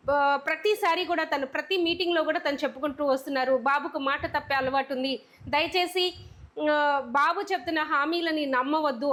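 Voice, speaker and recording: High-voiced woman presenting political news. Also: a bit bright. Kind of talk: unscripted news commentary.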